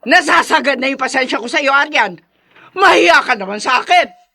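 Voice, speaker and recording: A woman's voice: sits high, expressive, a little fast, creaky, sharp tone, exaggerated voice. A woman playing an irritable older mother-in-law in a Tagalog radio drama, nagging in exasperation. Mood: angry scolding.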